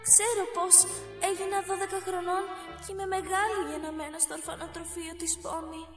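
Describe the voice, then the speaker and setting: A woman's voice: extremely high pitch, little pitch movement, roomy sound, recorded from a distance, loud music behind the voice. A woman voicing a cheerful, headstrong twelve-year-old orphan girl in an amateur anime fan dub, bubbly and emotive. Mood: wistful.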